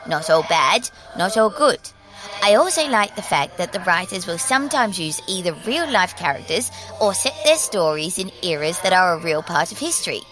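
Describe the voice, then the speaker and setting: Creepy little girl for audio drama: childlike, teasing, mysterious, highly animated delivery over clear music. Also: moderate pace. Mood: sweet, teasing. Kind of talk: in-character audio drama address to listeners. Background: music under the voice.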